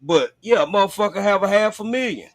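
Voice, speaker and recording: A man's voice: high voice, lively intonation, a little fast, no echo, studio quality. A man chatting casually on a livestream in a blunt, profane, informal way. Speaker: livestream host.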